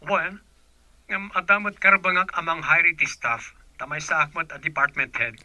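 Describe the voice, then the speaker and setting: A man playing a brisk, businesslike department head calling a job applicant. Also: fairly high pitch, fairly even intonation, bright, forceful tone, no room sound, poor audio.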